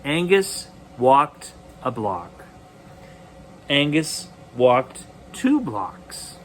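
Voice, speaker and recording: A man reading a children's bedtime story aloud expressively, with deliberate dramatic pauses. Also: mid-range voice, sing-song, a bit bright, a little hiss or hum.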